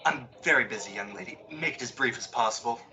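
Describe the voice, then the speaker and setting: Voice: medium pitch, fast, pushed and bright, close to an everyday voice, rough recording. An actor playing a snooty, impatient department-store floor walker in a radio drama, curt and formal. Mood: haughty, impatient. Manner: haughty, clipped, formal. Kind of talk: old-time radio drama acting.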